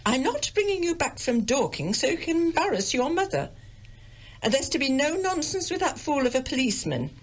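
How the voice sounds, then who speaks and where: A woman's voice: sits high, big pitch swings, brisk. Fussy, swooping older English mother. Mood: indignant. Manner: querulous, haughty, melodramatically aggrieved. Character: fussy, self-pitying, domineering middle-class English village mother. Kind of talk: radio drama acting.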